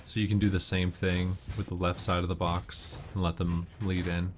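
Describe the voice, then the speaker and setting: Low-voiced man giving game tips. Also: flat delivery, normal speed, slight background noise. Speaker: gamer giving walkthrough commentary. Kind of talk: casual game commentary with tips.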